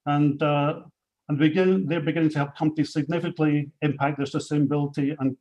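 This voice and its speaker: A man's voice: a little high, flat delivery, a little fast, a little mellow, poor audio. A man from Scotland with a Scottish accent, a company chief presenting industry awards in a webinar, speaking unscripted with many fillers and restarts.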